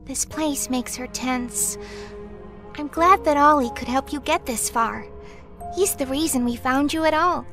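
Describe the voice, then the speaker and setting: A woman playing a small, earnest living girl doll in a dramatic video game, speaking deliberately and pleadingly. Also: high-pitched, music in the background. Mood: tense, subdued.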